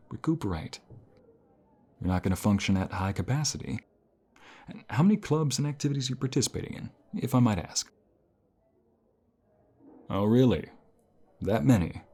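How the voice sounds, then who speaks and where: A man playing a caring, encouraging college professor in an intimate ASMR role play, speaking softly in a gentle, unhurried, reassuring way. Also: on the low side, husky. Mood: gently concerned, then concerned, probing. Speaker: voice actor in an audio role play.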